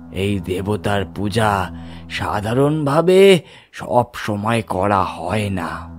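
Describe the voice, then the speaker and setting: A man plays a solemn village priest in a horror audio drama. The voice is fairly low and unhurried, gravely setting out dark lore in measured phrases over background music. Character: solemn village priest warning of a bone-eating demon.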